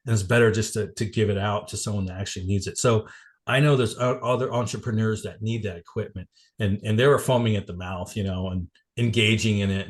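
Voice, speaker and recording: A man getting over a cold, a little congested and coughing, giving a casual business presentation on a video call. He rambles with many fillers and restarts. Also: fairly low pitch. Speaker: guest presenter at a networking meeting.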